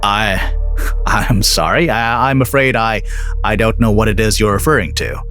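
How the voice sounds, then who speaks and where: A man's voice: on the low side, moderate pace, a bit bright, soft background music. A man playing a slick, defensive pharmaceutical boss in an audio drama, polished and self-righteous. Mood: flustered, indignant. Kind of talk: scripted audio drama acting.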